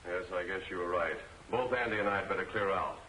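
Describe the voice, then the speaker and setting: An actor playing a shifty, blustering racketeer in an old 1940s film serial. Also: male voice, low voice, fast talker, reverberant, slight background noise, rough recording. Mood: gruff, tense. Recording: old film soundtrack, loud music behind.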